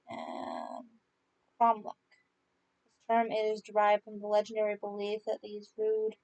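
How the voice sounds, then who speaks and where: A woman hosting a story podcast, reading folk tales aloud with informal asides and stumbling haltingly over unfamiliar words. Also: medium pitch, darkish tone, poor audio. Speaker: podcast host and story reader.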